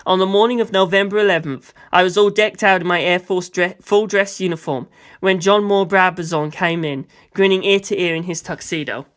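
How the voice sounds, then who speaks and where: A narrator reading a first-person story aloud as an audiobook, in an expressive, conversational and dramatic way. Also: medium pitch, fairly quick. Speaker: audiobook reader.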